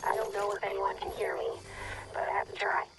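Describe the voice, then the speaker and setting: A stranded woman pleads over a video game radio broadcast. Her voice is gravelly and swings widely in pitch. Heavy static noise sits over it. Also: very animated, brisk. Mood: pleading. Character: stranded woman sending a distress message. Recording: gravelly transmission with loud noise.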